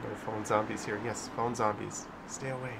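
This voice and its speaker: A man's voice: on the low side, little pitch movement, moderate pace, gravelly, heavy background noise. A man hosting a casual livestream, chatting informally and reading out viewer messages as he comments. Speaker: livestream host.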